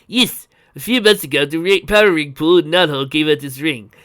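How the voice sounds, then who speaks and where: A man voicing an excitable old uncle who is an inventor, explaining things with emphasis. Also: on the high side, fairly quick, pitched above the performer's own voice. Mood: exulting, earnest.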